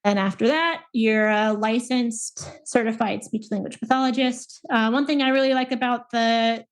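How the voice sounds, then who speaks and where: Articulate woman speech scientist, slightly high. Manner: thoughtful, with many fillers and restarts. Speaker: guest, speech pathologist and researcher. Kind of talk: conversational interview answers.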